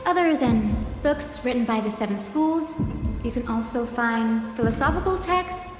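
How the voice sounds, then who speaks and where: A woman playing a lively, teasing young scholar in a video game, chatty and theatrical. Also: a little high, normal speed, natural voice. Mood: chatty, informative. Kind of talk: video game character dialogue. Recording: echoey reverberant hall, loud background music.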